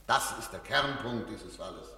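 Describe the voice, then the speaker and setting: A stern public prosecutor in a radio drama. His low voice swings widely as he argues, formal and emphatic, never yielding a point. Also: lively intonation, normal speed, bright, forceful tone. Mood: indignant, forceful. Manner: rhetorical, emphatic, formal legal argument. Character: male public prosecutor, eloquent, unyielding, stern and argumentative. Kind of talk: German radio play acting.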